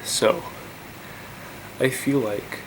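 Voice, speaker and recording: A man's voice: medium pitch, steady tone, drawn-out words, background noise. A congested young man vlogging, rambling and incredulous, with many fillers and restarts. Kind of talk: casual rant to camera.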